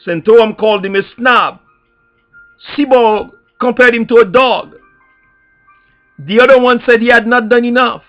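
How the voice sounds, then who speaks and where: A man's voice: extremely high pitch, normal speed, long pauses. A man with a Haitian accent speaking as an activist in an impassioned, preacher-like address, emphatic and repetitive, leaning on rhetorical questions. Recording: dull lo-fi sound, faint music behind.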